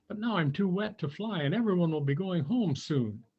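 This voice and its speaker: A small, polite firefly from a children's storybook, voiced by a man, excitable and wide-eyed. The voice is lifted in pitch and lively, on a somewhat dull recording. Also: moderate pace, breathy edge, heavily acted. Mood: grateful, worried. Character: little male firefly, polite and amazed.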